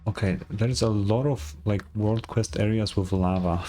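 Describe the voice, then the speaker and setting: A man's voice: fairly low pitch, a little slow, mellow. A man giving casual, informal gameplay commentary, occasionally swearing. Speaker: gaming streamer reading game dialogue aloud. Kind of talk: casual gameplay commentary with dialogue read aloud.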